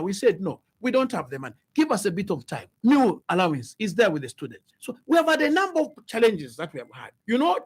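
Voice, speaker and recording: A Zambian man speaks as a politician in an interview, his voice high and rough-edged. He explains his points emphatically in short sentences, with very lively swings in pitch. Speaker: member of parliament.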